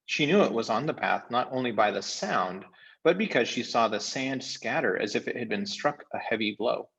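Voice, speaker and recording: A man reading a storybook aloud as an expressive narrator. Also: medium pitch, normal speed, creaky, husky, rough recording.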